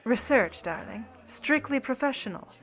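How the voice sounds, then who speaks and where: An actress playing a poised, mischievous 1950s starlet with a secret sideline in burglary, cool, teasing and formal in old radio play style. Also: female voice, sits high, animated, moderate pace, a bit bright, soft background music. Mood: arch, dismissive.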